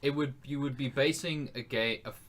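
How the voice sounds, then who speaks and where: A man's voice: mid-range voice, fairly quick, fairly bright tone. A man co-hosting a podcast, talking casually and searching for his words as he makes his points. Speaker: podcast co-host. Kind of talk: casual chat.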